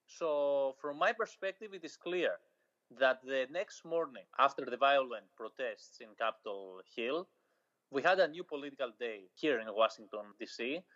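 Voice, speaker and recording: Greek-accented man, measured phrasing. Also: medium pitch. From Greece. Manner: measured, speaks in short phrases with pauses. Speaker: journalist guest. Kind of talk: interview answers, eyewitness account. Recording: slightly dull lo-fi audio.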